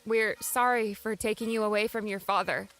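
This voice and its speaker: Casting: woman to play tender-hearted young female adventurer, soft and sympathetic, audiobook drama, light music and rain behind. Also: medium pitch, fairly even intonation, normal speed, close to an everyday voice. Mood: sympathetic, sorry. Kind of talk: audiobook character acting.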